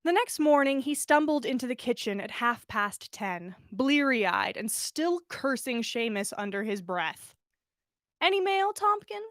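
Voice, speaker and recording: High-voiced woman reading a story aloud. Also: measured pace, hardly any pauses, dry recording, clean studio sound. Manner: animated storyteller, acts out dialogue. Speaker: podcast host reading listener fiction. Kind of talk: expressive story narration, livestream read-aloud.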